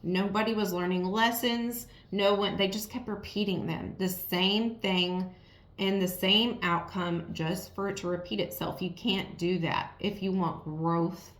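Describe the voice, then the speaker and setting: Hoarse woman tarot reader, mid pitch, blunt and repetitive, roomy echo. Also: fairly even intonation, unhurried. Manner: frank, repetitive, talks straight to the viewer. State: raspy, strained voice from yelling. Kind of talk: casual tarot reading to viewers.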